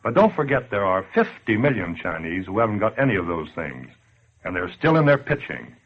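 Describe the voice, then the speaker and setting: A man's voice: on the low side, very animated, fairly quick, breathy edge, full character voice. An actor playing a tough, slangy man who scolds listeners bluntly in 1940s radio style. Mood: sardonic, scolding. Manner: blunt, sardonic, colloquial. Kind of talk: 1940s radio acting, direct address to listener. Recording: dull lo-fi 1940s radio recording.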